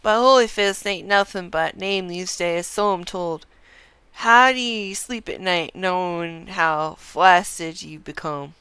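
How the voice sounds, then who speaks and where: A boastful, sly old rival from a fantasy game, performed by a woman, who taunts in rustic dialect. The delivery is slow and mocking, leaning hard on words in a clear voice. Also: fairly low pitch, pushed and bright, dry recording. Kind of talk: reading game dialogue aloud in character.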